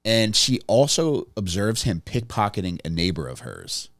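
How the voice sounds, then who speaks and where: A man co-hosting a comedy podcast, recounting a true crime case with deadpan, teasing jokes. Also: fairly low pitch, moderate pace, dry recording, studio quality. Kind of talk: joking true-crime storytelling.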